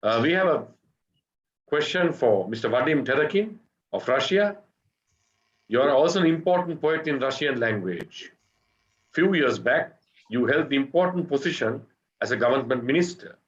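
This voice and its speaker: A man runs an online panel of poets, politely introducing each guest and reading out their questions. His voice sits at an ordinary pitch. It comes through a dull, lo-fi video call line. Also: lively intonation, normal speed, pauses often. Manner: reads out questions, polite and brief. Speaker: webinar moderator. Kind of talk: moderating a webinar.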